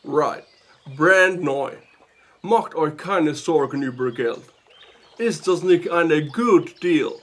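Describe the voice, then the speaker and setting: A smarmy American businessman for a children's audio story. He talks very slowly in a fairly high male voice, with American-accented Swiss German. English words slip in as he sweet-talks. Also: lively intonation. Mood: sweet-talking. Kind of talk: children's audio drama acting.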